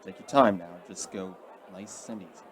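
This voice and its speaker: Actor as calm older male investigator, coaxing, folksy, lowish pitch, radio play, faint murmur behind. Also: moderate pace, a faint crowd, lightly acted. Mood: reassuring. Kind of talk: radio drama acting.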